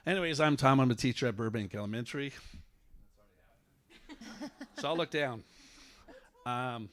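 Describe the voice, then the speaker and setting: A man's voice: mid-range voice, moderate pace, fairly bright tone. A man, a teacher, giving an informal award speech, choked up and joking at his own expense. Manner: self-deprecating, joking. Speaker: elementary school teacher.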